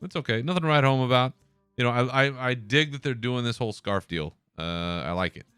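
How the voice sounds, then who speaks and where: Brief: male podcast host, smooth clear voice, relaxed solo chat, swears casually. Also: mid-range voice, a little fast, no room sound, faint music behind. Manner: laid-back, swears, chuckles.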